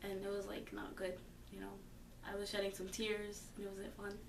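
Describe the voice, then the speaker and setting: A woman's voice: mid-range voice, monotone, moderate pace, gravelly, reverberant, recorded from a distance, background noise. A fifteen-year-old girl answering interview questions casually, hesitant and filler-heavy but growing emphatic. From born in the United States. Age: teens. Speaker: high school student interviewee.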